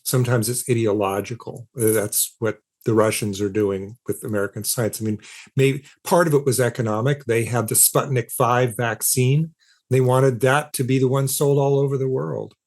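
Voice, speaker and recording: A man speaking as an expert guest in an interview, explaining emphatically with halting, repeated starts to his sentences. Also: medium pitch, fairly even intonation, a little mellow. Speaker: interview guest, philosopher of science and author.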